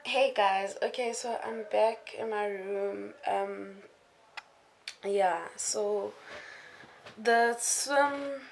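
A woman's voice: mid-range voice, steady tone, extremely slow, creaky. Chatty young woman vlogger. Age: young adult. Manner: chatty, giggly, mixes in isiXhosa words. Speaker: vlogger, university student. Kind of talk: casual vlog chat to camera. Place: student bedroom and outdoors. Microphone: camera held at arm's length. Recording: noisy handheld camera audio.

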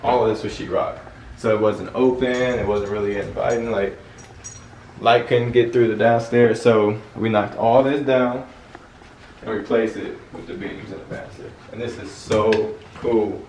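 A young man giving a casual tour of his house, talking in a relaxed, filler-heavy way and laughing often. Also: lowish voice, moderate pace, far from the mic. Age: young adult. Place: large house with game room, indoors. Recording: roomy, off-mic with faint room noise.

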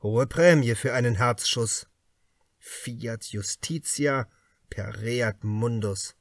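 A man voicing a calm, brooding male police detective who speaks firmly and deliberately in an audiobook. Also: medium pitch, no echo. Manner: firm, deliberate. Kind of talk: audiobook character dialogue.

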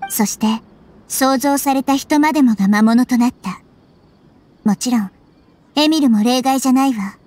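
A woman voicing a wise, childlike young goddess in an anime game, calm, measured and gently authoritative. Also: sits high, close to an everyday voice. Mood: calm, grave. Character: wise, composed childlike little goddess girl. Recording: music under the voice.

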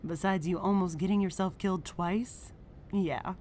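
A woman's voice: fairly low pitch, steady tone, normal speed, darkish tone, slight background noise, lightly acted. A woman playing a confident, challenging mentor in a superhero comic dub, with music underneath. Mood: cool, assured. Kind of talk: acted comic dub dialogue.

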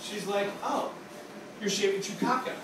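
A man's voice: high voice, normal speed, fairly bright tone, slight background noise. A man from upstate New York doing a loose, crude open-mic stand-up set on a small theater stage, talking into a handheld mic. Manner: loose, rambling, crude, full of "like" and "okay?". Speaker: stand-up comedian. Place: small dark theater stage with a live audience. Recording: echoey room with crowd laughter.

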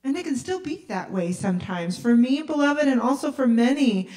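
A middle-aged woman pastor preaching a sermon in a large church sanctuary, speaking slowly and deliberately into a handheld mic. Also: high-pitched, animated, mellow, distant mic. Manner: slow, deliberate preaching with emphatic pauses. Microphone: handheld mic, filmed by far camera.

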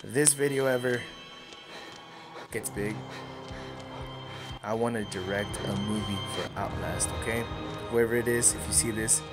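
A man's voice: fairly low pitch, unhurried, long pauses. Young male streamer, casual and profane game commentary, close home mic, prominent music behind. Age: young adult. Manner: profane, excitable. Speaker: gaming streamer. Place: small room at home. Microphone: close desk microphone with headset. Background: loud game music and sounds.

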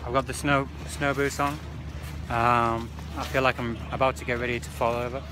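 A man's voice: medium pitch, little pitch movement, moderate pace. A young British man in his twenties chatting casually and informally to a phone held at arm's length outdoors. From Britain. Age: 20s. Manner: informal, says "like" often. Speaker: vlogger. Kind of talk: casual travel vlog chat. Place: outdoors, station and ski slope. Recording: noisy outdoor phone recording.

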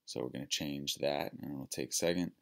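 A man giving a casual, plain-spoken, step-by-step software tutorial as a teacher. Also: rumbling low, brisk, vocal fry, a little hiss or hum.

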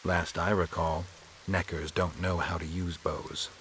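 Deep-voiced, stoic male monster hunter. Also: flat delivery, measured pace, fairly bright tone, breathy edge, heavily acted. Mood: stoic, matter-of-fact. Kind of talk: video game voice acting.

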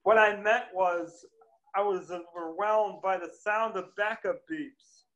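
A man's voice: high voice, measured pace, lo-fi sound. A man reading a frazzled, whiny bar owner. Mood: exasperated. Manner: exasperated shouting, whiny complaints. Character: easily annoyed male bar owner Steve, frantic. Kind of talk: amateur table read over video call. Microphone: laptop webcam mic on video call.

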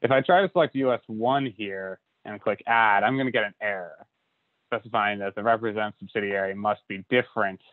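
A man giving a relaxed screen-recorded software walkthrough, with lots of uh and you know. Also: fairly low pitch, fairly quick, dry recording. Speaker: software instructor. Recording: dry tinny lo-fi audio.

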